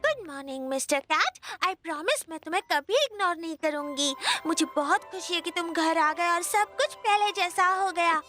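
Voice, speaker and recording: A sweet cartoon little girl, speaks in a very high, sharp voice. She is earnest, pleading and dramatic, with music playing behind her. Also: lively intonation, normal speed, few pauses, exaggerated voice. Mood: relieved, adoring. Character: sweet, emotional little girl devoted to her pet cat. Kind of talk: Hindi cartoon dub acting.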